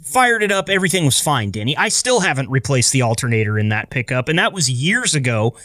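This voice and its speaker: A man's voice: medium pitch, very animated, fast, sharp tone, no echo, studio quality. A man co-hosting a podcast, telling stories in a casual, chatty way with a sense of amazement. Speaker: podcast co-host. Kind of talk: casual conversational storytelling.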